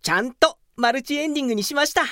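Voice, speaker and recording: A woman's voice: high-pitched, expressive, very fast, a bit bright, dry recording, clean studio sound. A woman playing an earnest, delusional young male office geek in a comedy radio drama, polite yet pompous and theatrical. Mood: indignant.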